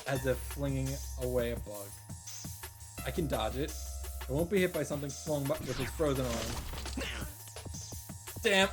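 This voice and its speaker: Man reading dramatically over loud music. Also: fairly high pitch. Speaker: visual novel reader and commentator. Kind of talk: dramatic read-aloud of first-person story narration with reactions. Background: game music.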